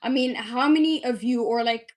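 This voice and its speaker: A fairly high-voiced woman in her twenties, from the UAE, speaks as a podcast guest. She tells her story earnestly and chattily, using lots of fillers along the way. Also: normal speed. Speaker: guest, medical student and startup founder. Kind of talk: interview, telling her story.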